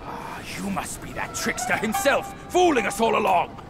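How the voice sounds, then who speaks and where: A man voicing a hot-tempered, boastful pig warrior in a video game, gruff and theatrically scornful. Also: high-pitched, big pitch swings, moderate pace, over loud music, exaggerated voice. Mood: furious, blustering. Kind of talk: dramatic video game cutscene acting.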